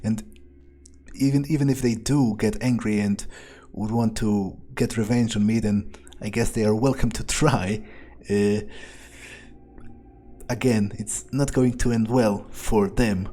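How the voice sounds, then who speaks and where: Man playing a slow-talking, cynical vampire hitman. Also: fairly low pitch, fairly even intonation, dark tone, soft background music. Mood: smug, amused. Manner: musing, halting, foul-mouthed. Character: cynical, arrogant, cold-blooded male vampire hitman. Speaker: gamer playing in character. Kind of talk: in-character game playthrough monologue.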